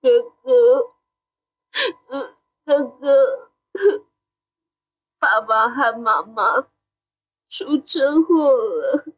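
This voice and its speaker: A woman playing a gentle, anxious fourteen-year-old boy in an audio drama, hesitant, earnest and polite. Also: sits high, animated, extremely slow, long pauses, muffled recording, heavily acted. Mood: sad, pleading. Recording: background music under the voice.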